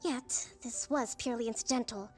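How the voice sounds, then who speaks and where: High-voiced, composed female leader played by an actress. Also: normal speed, a little mellow, soft background music, natural voice. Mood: firm, earnest. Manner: formal, composed, eloquent. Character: composed, wise young female leader, gentle but firm. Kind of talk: video game dialogue acting.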